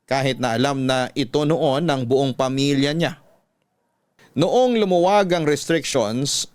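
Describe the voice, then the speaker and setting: A Filipino man reads a personal story in classic radio style. His voice is smooth and resonant at a medium pitch. The sound is close and dry. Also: no room sound.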